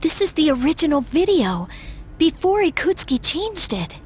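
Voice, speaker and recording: A woman's voice: high-pitched, sing-song, normal speed, a little hiss or hum. An actress playing a determined teenage girl resolving to honor her late father, in a game cutscene. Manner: earnest, resolute.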